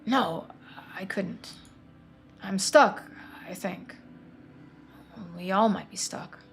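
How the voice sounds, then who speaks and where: A woman voicing a troubled young man trapped in his town, speaking haltingly and sometimes in a near whisper, for a story game. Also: medium pitch, faint music behind. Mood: anxious, haunted.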